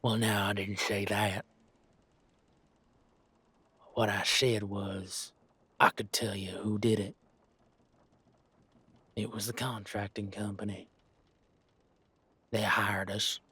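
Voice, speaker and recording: Man voicing a husky, cagey hired brigand. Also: fairly low pitch, little pitch movement, takes it slowly, pauses often, bright, forceful tone, no echo, full character voice. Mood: evasive. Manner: laconic, folksy, evasive. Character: rough, cagey male hired brigand, stubbornly casual. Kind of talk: fantasy roleplay audio drama.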